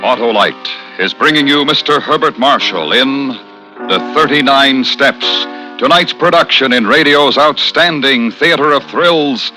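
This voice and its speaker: A man's voice: measured pace, over music, low-quality recording. A man with an American accent delivering emphatic, polished sponsor announcements in 1950s radio style. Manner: emphatic, polished sales pitch.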